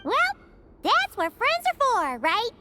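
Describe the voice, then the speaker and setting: Squeaky, tiny fairy companion. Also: female voice, huge pitch swings, takes it slowly, heavy background noise, full character voice. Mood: cheerful. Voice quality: squeaky, childlike. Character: tiny, chirpy, excitable childlike fairy companion.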